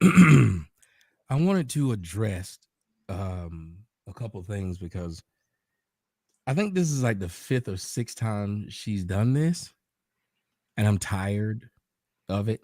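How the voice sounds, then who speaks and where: Halting man guest, dry sound. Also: lowish voice, big pitch swings, a little mellow, no echo. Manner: halting, with many pauses and fillers. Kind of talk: casual livestream talk.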